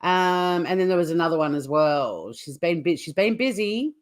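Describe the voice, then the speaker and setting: A woman's voice: lowish voice, expressive, normal speed. A woman hosting a casual livestream, chatting to viewers in an informal, teasing way. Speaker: livestream host.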